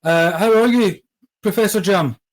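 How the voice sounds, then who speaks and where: A man's voice: high-pitched, normal speed. A man hosting a casual podcast conversation, hesitant with many ums and restarts. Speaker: podcast host.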